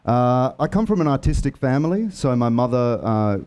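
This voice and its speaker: A man's voice: mid-range voice, sing-song, normal speed, a little mellow, clear, resonant tone. A man telling stories from his life as an author on a festival panel, reflective and with frequent fillers. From Australia. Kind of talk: relaxed storytelling.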